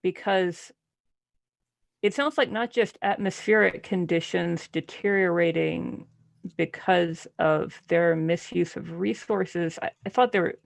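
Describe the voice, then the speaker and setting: Female panelist, creaky voice, close dry sound, analytical casual book talk with deadpan humor. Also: on the low side, unhurried, no room sound. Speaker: podcast panelist. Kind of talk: casual book club discussion.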